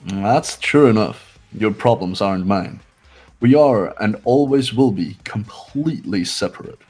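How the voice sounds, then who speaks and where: A man's voice: on the low side, lively intonation, a little mellow, breathy edge. A man voicing a haughty, contemptuous rich young man in an amateur playthrough of a visual novel game. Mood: cold contempt. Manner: disdainful, aloof, deliberate.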